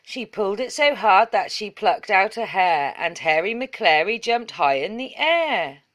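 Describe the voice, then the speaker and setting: A woman reads a children's story aloud at a slow pace. Her delivery is measured and follows the rhythm of the rhyming verse, with a middling pitch. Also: sing-song, a bit bright. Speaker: story reader.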